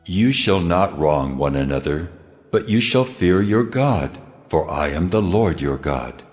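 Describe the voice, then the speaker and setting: Man as deep, reverberant voice of God. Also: lively intonation, measured pace, faint music behind. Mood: solemn, commanding. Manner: solemn, authoritative, declarative. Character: God, commanding, solemn male divine lawgiver. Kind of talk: dramatized scripture reading. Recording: long cavernous reverb.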